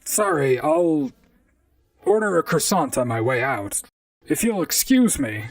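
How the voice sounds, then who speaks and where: A robot gumshoe in a radio-style drama, dry-witted and chatty. The pitch swoops widely over a gravelly, creaky edge. The voice sits slightly back from the mic in a dry space. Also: wildly swooping pitch, unhurried, no echo, recorded from a distance, full character voice. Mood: polite, brisk. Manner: dry, conversational first-person noir narration. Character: wry robot private detective, talkative and unflappable. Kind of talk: audio drama acting and narration.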